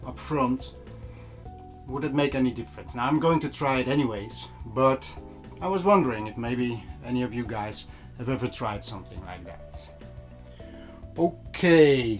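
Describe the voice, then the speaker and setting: A man talking casually to viewers, explaining things in a conversational way as an audio vlogger. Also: mid-range voice. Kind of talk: casual vlog talk to viewers. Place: small room at home. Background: music playing.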